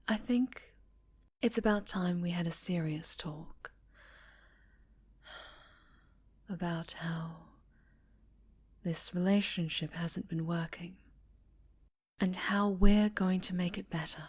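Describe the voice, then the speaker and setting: A woman performs an obsessively doting dark superheroine for an ASMR roleplay. She speaks very slowly in a lowish, breathy voice that often sinks into a whisper, coaxing and tender with menace underneath. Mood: gentle, coaxing. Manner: slow, sweetly menacing, coaxing. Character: sinister possessive female superhero villain, obsessively doting, menacing.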